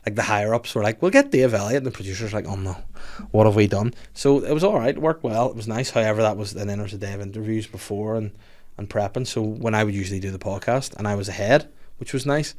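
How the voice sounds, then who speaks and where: A man's voice: fairly low pitch, quick pace, hardly any pauses, a little mellow. A man, hosting a casual podcast chat, joking and swearing freely. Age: 30s. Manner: jokey, swears freely, acts out quotes. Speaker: podcast host.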